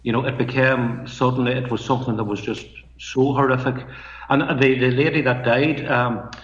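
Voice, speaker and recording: A middle-aged man with a Northern Irish accent, a poet and storyteller, speaking softly and reflectively in a halting, measured way. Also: mid-range voice, fairly even intonation. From Northern Ireland. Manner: soft-spoken, measured, halting restarts. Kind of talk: reflective interview talk. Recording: muffled, roomy remote line.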